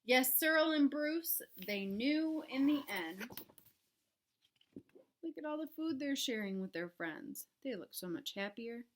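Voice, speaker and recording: A woman reading a picture book aloud for young children, expressive and dramatic, with chatty asides to her listeners. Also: high voice, a little slow, slight background noise. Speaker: children's read-aloud presenter. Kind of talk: animated storybook read-aloud for young children.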